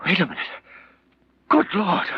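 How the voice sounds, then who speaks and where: A man's voice: high voice, huge pitch swings, measured pace, slightly rough, dull audio, exaggerated voice. An actor playing a panicky, agitated male professor in 1940s radio drama style. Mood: panicked. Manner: agitated, stammering. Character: panicky married male professor, jittery and guilty-seeming. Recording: very noisy, crackly 1940s radio recording.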